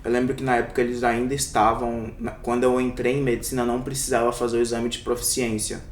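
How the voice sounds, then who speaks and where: A man's voice: completely flat delivery, fast, recorded from a distance. A young adult man from Brazil answering interview questions as a doctor, reflective and hesitant, with many fillers and restarts. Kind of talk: casual interview. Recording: echoey room, somewhat off-mic, faint rumble.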